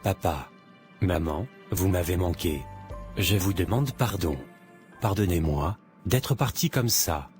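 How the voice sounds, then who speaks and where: Guy playing earnest devout young man in a cartoon drama, deep voice, music behind. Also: takes it slowly, a little mellow. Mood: apologetic.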